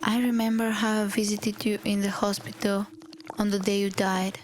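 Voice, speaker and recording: A woman voicing a wistful, grieving grown daughter in an animated film, with a subdued, matter-of-fact delivery. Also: medium pitch, normal speed, noisy background, close to an everyday voice. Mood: sad. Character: grieving grown daughter addressing her dead father, wistful. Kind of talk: scripted animated documentary narration.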